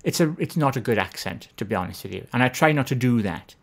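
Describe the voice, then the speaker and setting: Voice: medium pitch, expressive, quick pace, vocal fry. Chatty Welsh streamer with a Swansea accent. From Wales. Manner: chatty, self-deprecating, reads chat aloud. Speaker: live streamer. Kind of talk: casual live-stream chat.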